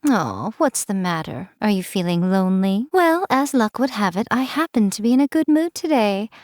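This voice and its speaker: A woman's voice: medium pitch, very animated, normal speed, darkish tone, no room sound, studio quality, lightly acted. A woman voicing a sly, teasing fox-spirit shrine priestess in a video game, speaking in a languid, amused way. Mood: storytelling. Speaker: game character voice.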